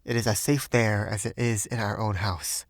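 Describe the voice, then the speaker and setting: A man's voice: fairly low pitch, fairly even intonation, normal speed, no room sound, studio quality, close to an everyday voice. A man voicing a cheerful young man who talks in a light, breezy way. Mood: gentle.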